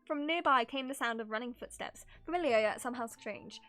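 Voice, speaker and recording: A woman's voice: fairly high pitch, brisk, a bit bright, faint music behind. A woman streamer reading game story text aloud, with giggly, amused asides between passages. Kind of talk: reading game text aloud dramatically with casual live reactions.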